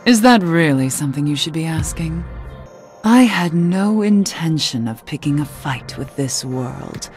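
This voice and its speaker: A low, unhurried, somber game witch. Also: expressive, a little mellow, soft background music. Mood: probing, composed. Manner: measured, earnest, questioning. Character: somber cursed witch, adult woman, gently wry. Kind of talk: video game cutscene acting.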